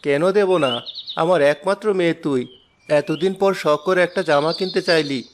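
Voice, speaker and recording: A man's voice: a little high, normal speed, noisy background, natural voice. An actor playing a humble, polite and hardworking poor village father in a cartoon. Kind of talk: cartoon voice acting. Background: background music.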